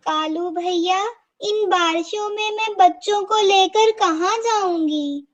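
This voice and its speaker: Someone doing a gentle mama bird in a cartoon, super high voice, talks slow. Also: muffled recording, heavily acted. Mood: worried. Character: gentle, hardworking mother sparrow. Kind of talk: Hindi cartoon dialogue.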